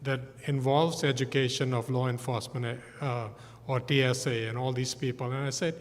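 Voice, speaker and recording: A man's voice: mid-range voice, steady tone, moderate pace. A man from Malaysia and Singapore speaking earnestly and emphatically as a panelist in a discussion. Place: church sanctuary. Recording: long church-hall reverb.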